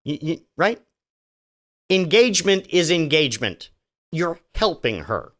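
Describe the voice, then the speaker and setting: A middle-aged man rambles through a casual solo podcast, sarcastic and full of restarts. His voice sits a touch high for a man and swings widely in pitch as he talks. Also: very animated, a little slow. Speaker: podcast host.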